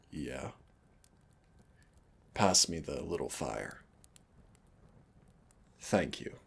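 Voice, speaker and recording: A man playing a teasing, lovestruck winged fire demon in an intimate audio roleplay, with a deep, husky, gravelly voice. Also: a bit bright, full character voice. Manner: intimate, teasing, addresses listener as darling.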